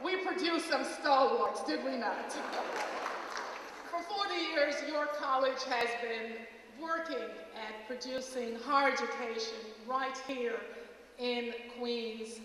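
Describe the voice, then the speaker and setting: A woman's voice: high voice, fairly bright tone, slight background noise. A middle-aged woman from Jamaica, a college president, giving a stately formal welcome speech to an audience. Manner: measured, stately address. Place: large auditorium. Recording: echoey hall recording from far off.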